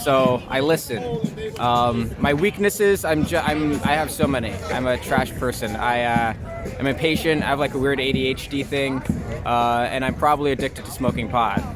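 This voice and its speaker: A man's voice: medium pitch, moderate pace, over music. A young man chatting casually and jokingly in a slangy, informal street interview. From Long Island, New York, United States. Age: young adult. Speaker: interviewee, actor and improviser. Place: outdoors in a city street. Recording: noisy outdoor city street with traffic.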